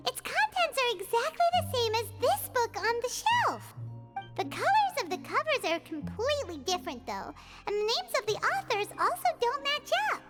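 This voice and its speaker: A woman voicing a small, perky floating fairy companion with a squeaky childlike voice in an anime-style video game. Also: sing-song, normal speed. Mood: excited, explaining. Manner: talks of self in third person. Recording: music playing behind the voice.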